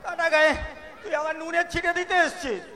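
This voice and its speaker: A man's voice: extremely high pitch, a little fast, sharp tone, some chatter behind. A man playing a flustered, exasperated landlord uncle who stammers and exclaims, in a staged comic audio drama. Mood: aggrieved. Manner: exclaiming, stammering when alarmed. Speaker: amateur actor, schoolteacher. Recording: echoey hall stage recording, audience noise.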